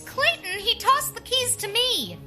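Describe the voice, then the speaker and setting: An actress playing a wry, determined masked heroine and quick-witted strategist in a pulp radio drama. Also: female voice, very high-pitched, sing-song, music in the background, heavily acted. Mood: urgent.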